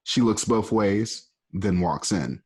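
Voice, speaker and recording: A man with a husky voice casually narrating stage directions for a radio play, adding asides. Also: on the low side, slow pace. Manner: casual, animated, adds asides.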